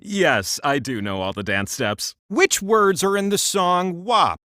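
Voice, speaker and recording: A man's voice: high-pitched, animated, measured pace, bright, forceful tone, dry recording, studio quality, heavily acted. A man voicing an immortal talking game-show wheel, a boastful, campy and playful trivia host with theatrical delivery. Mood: playful, showy. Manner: playful, showy, self-important asides.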